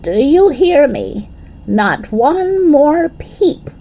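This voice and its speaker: Woman as stern grouchy grandmother, slow commands. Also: high-pitched, sing-song, warm tone, slight background noise, voice pushed up, heavily acted.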